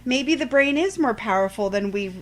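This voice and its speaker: A woman's voice: a little high, animated, moderate pace, crowd noise. A woman co-hosting a casual fan discussion, thinking aloud and speculating, with some offhand swearing. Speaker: podcast co-host.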